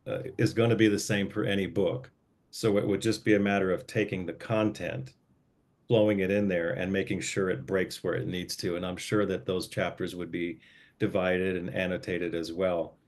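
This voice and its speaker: A man with a very deep voice explains his editing and layout work step by step. He talks in an easygoing way, with frequent fillers and restarts, on a casual group call. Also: little pitch movement, moderate pace, darkish tone. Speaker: book editor and layout guide.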